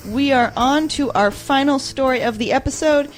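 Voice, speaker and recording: A woman's voice: high voice, normal speed, faint music behind. A woman co-hosting a casual news podcast, reading out stories and quotes and laughing often. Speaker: podcast co-host.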